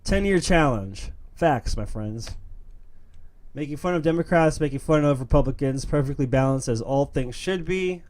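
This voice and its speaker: A man's voice: fairly high pitch, lively intonation, moderate pace, darkish tone. A young man from New York City hosting his own streamed opinion show, talking in an earnest, emphatic way with many fillers and restarts. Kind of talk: casual monologue, reading memes.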